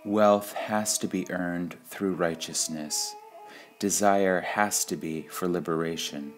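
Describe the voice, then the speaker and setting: Slow, deep-voiced man reading spiritual sayings. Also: steady tone. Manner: measured, deliberate, with pauses between short phrases. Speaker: reader of spiritual quotations. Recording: music under the voice. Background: background music.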